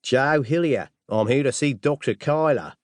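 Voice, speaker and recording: A man's voice: medium pitch, normal speed, no room sound, clean studio sound, voice pushed up, natural voice. A man voicing a brash, confident male assassin with an Australian accent and a breezy manner, in an audiobook thriller. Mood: cocky, breezy. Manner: breezy, cocky.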